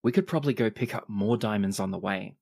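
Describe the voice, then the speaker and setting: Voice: medium pitch, fast, gravelly, a little mellow. A game master narrating a tabletop roleplaying game in a playful, improvised way, voicing bits of dialogue along the way.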